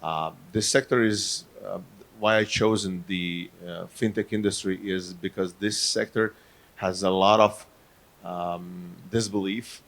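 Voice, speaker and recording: Low, flat man, English, hesitant panelist. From Croatia. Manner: halting, many fillers, non-native phrasing. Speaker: panelist, fintech marketer. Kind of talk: live panel introduction. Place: conference stage.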